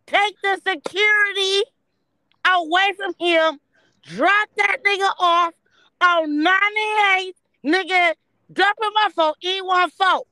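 High, bright-voiced woman in her thirties. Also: slow, no echo, rough recording. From San Francisco Bay Area, California. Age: 30s. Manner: loud, blunt, profane storytelling. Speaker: podcast guest. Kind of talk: casual chat.

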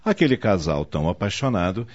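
A man's voice: low voice, sing-song, fairly quick. A man narrating a radio soap in a dramatic, scripted style. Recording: dull lo-fi audio, music underneath.

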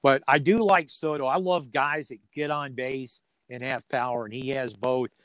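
A man's voice: mid-range voice, fairly even intonation, moderate pace, fairly bright tone, no room sound. A man speaking as a sports announcer being interviewed, chatting casually in a folksy, joking way with dry humour. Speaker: radio sports play-by-play announcer as interview guest.

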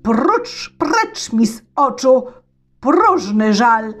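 A woman voicing a wrathful bearded old tsar, shouting and threatening in a raised voice. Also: on the high side, lively intonation, slow, soft background music, pitched above the performer's own voice.

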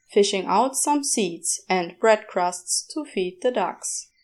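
Woman, story narrator, lightly high pitch, very leisurely pace, measured delivery. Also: darkish tone. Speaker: narrator reading fan fiction aloud.

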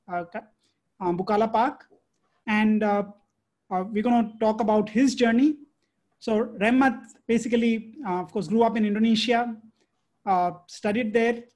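A man's voice: sits high, a little mellow. A man hosting a podcast, introducing a guest and asking questions in a friendly, filler-laden way. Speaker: podcast host.

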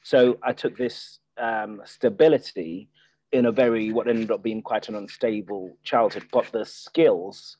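Low-voiced hesitant man guest. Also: fairly even intonation, measured pace, mellow, poor audio. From Nigeria, Ireland and the UK. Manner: hesitant, many restarts and fillers. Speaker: podcast guest. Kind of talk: casual interview chat.

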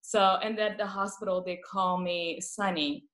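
A woman's voice: mid-range voice, steady tone, unhurried, a bit bright, roomy sound. A woman from Iran with a Persian accent, speaking as a palliative care guest and telling stories with laughs. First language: Farsi. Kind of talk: casual interview talk.

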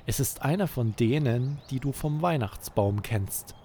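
A man hosting a children's podcast, narrating a scripted bedtime story in an expressive, deliberate way. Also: medium pitch, slight background noise.

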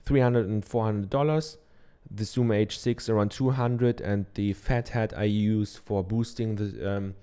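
A man's voice: lowish voice, fairly even intonation, measured pace, few pauses, warm tone, smooth. A man from Germany with a German accent, giving a casual how-to talk from his office into a dynamic studio microphone, chatty and loose, with many ums and tag questions. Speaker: podcaster. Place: treated home office.

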